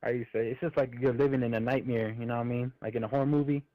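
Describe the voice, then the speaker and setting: A man's voice: mid-range voice, steady tone, racing. Muffled male caller from New Mexico. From New Mexico, USA. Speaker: phone-in caller. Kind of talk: casual personal storytelling. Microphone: phone. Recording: dull, lo-fi phone call audio.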